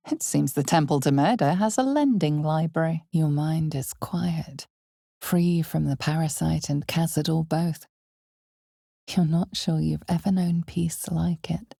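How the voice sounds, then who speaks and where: An ominous female storyteller for a dark fantasy video game. Her voice is low and husky, with a breathy edge. She narrates slowly and deliberately, with knowing, dramatic weight. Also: no room sound, clean studio sound, full character voice. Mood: intimate, intense. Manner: measured, deliberate, dramatic, often dropping toward a breathy whisper.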